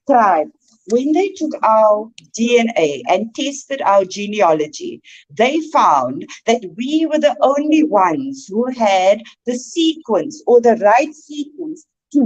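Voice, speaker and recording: A woman with a South African Cape Flats accent, a guest speaking in a slow, declaiming, preacherly way. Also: fairly high pitch, dull audio. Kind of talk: impassioned online discussion.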